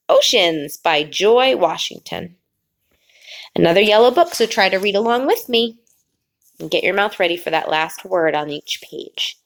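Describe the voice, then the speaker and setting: Slow sing-song teacher reading aloud. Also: female voice, medium pitch. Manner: slow, drawn-out reading with sing-song pauses before the last word; explains pictures in a friendly teacherly way. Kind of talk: children's read-aloud.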